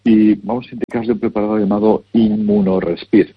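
Very deep breathy male health advisor. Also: level tone, muffled recording. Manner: measured, instructive, repeats product names. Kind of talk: advisory call-in consultation.

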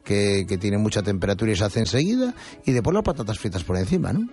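A man's voice: on the low side, expressive, fast talker, darkish tone, faint music behind. A man hosting a radio show, chatting and reading news with many fillers and restarts. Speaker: radio host. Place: radio studio.

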